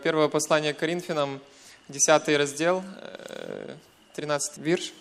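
A young man from western Ukraine with a Ukrainian accent giving a personal testimony in church, in short hesitant segments with pauses. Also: a little high, fairly even intonation, vocal fry. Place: church, speaking to a congregation.

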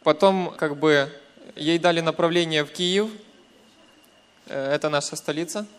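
A young man from western Ukraine with a Ukrainian accent giving a personal testimony in church, in short hesitant segments with pauses. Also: fairly high pitch, fairly even intonation, clear, resonant tone. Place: church, speaking to a congregation.

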